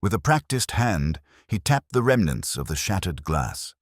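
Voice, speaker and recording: A man's voice: low voice, big pitch swings, moderate pace, no room sound, studio quality. A man reading scripted mystery fiction as a dramatic audiobook narrator.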